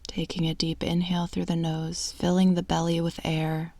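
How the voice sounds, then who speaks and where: A woman speaks as a meditation guide in a hushed, breathy voice that sits slightly low. Her delivery is very even and slow, with long pauses between soothing phrases. A creaky fry runs through her words.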